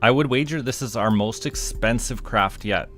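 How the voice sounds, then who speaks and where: A man doing relaxed live game commentary, musing aloud in a halting, unhurried way. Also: medium pitch, soft background music. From Canada. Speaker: game streamer.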